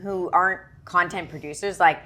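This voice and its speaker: A woman's voice: lowish voice, steady tone, moderate pace, sharp tone. Encouraging woman interviewer. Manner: encouraging, frequent short agreements. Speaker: interviewer host. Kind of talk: casual interview chat.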